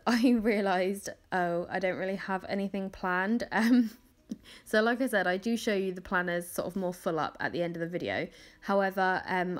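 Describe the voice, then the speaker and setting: A young woman in her twenties talks casually through her planning session in a voice-over. Her voice is mid-pitched and a little crackly from the early hour. She rambles comfortably, with plenty of ums and small laughs. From England. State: crackly morning voice. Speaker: planner vlogger.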